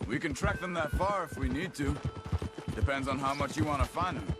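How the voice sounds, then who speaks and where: Man playing observant male tracker, slightly high pitch, understated, western game, loud music. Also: fairly quick, lightly acted. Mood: steady. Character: calm, observant male Wild West outlaw tracker. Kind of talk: dramatic video game acting.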